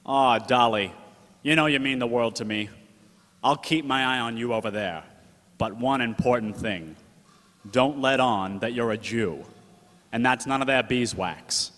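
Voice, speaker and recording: A man's voice: medium pitch, measured pace, fairly bright tone, natural voice. A slangy 1940s wise-guy gumshoe, acted by a man, fond and protective, talking in radio-drama style. His mid-range voice rings in an echoey hall. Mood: fond, urging. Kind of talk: 1940s radio drama acting, narration and dialogue.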